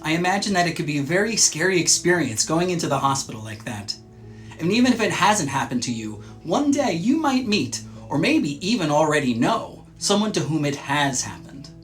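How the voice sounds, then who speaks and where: A man hosting a children's read-aloud, narrating from a script in a measured, clearly phrased way. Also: on the high side, very animated, a bit bright, faint music behind.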